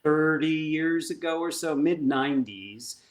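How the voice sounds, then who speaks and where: Animated man telling stories, slightly high voice. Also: unhurried. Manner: animated, emphatic storyteller who acts out dialogue. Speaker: performance coach guest. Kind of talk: conversational storytelling.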